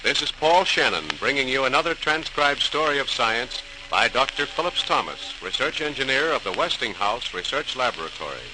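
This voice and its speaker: A man's voice: mid-range voice, lively intonation, moderate pace, hardly any pauses. A man narrating a scripted story as a 1940s radio host, formal and dramatic. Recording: noisy, crackly 1940s radio transcription.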